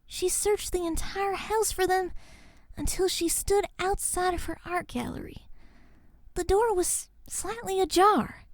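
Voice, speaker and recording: Very high-voiced little filly telling spooky tales. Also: female voice, fairly even intonation, dry recording. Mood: hushed, eerie. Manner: slow, theatrical. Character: young filly pony girl telling spooky stories. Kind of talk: dramatic ghost-story telling.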